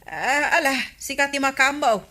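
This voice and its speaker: An actress playing a fussy village shopkeeper in a radio comedy drama. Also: female voice, high voice, normal speed, sharp tone. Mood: questioning.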